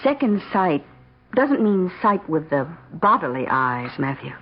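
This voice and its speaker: An actress playing a calm, indulgent woman confidante who soothes and reassures, in an old-time radio drama. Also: lowish voice, measured pace, a little hiss or hum. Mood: gracious. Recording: dull old radio recording.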